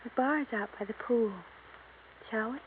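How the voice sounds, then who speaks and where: An actress playing a wealthy young wife in a 1950s radio drama, polished, poised and imperious. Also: female voice, on the high side, normal speed, close to an everyday voice. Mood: smooth, inviting. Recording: tinny, hissy 1950s radio recording.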